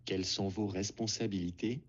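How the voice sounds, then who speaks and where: Deep husky male lesson voice. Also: lo-fi sound. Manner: even, measured prompts repeated identically. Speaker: model voice in lesson audio. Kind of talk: recorded phrases for repetition.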